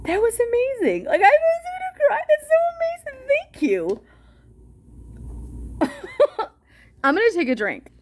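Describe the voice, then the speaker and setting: A woman hosting a livestream, chatting excitedly with viewers and reading a policy document aloud, with a loud voice and a casual, swearing manner. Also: very high-pitched, a little slow, long pauses.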